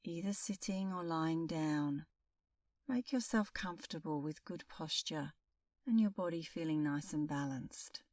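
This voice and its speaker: Slow, low-voiced woman guiding meditation. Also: dry recording, distant mic. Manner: calm, soothing, unhurried with long pauses. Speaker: meditation instructor. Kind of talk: guided meditation.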